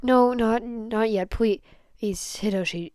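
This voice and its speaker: A woman's voice: a little high, a little mellow, clean tone, dry recording, studio quality. A woman voicing an anxious, guilt-ridden teenage boy in a story, stammering and pleading. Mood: scared, pleading. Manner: stammering, pleading, rushed rambling. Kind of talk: dramatised fan fiction reading.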